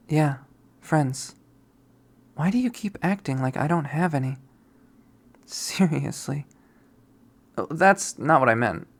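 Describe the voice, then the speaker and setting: Quiet reader going through a visual novel, middle-pitched voice, calm and even. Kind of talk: reading a visual novel aloud.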